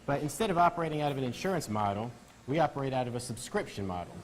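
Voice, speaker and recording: A man, a doctor, answering questions in a TV interview and explaining his practice in a steady, explanatory way. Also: medium pitch, very fast. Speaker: physician guest.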